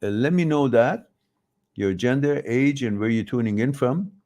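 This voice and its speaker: A man's voice: mid-range voice, normal speed, darkish tone. A middle-aged man, a Farsi speaker, hosting a live advice stream and reading out viewer questions, rambling and often correcting himself. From Iran. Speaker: host.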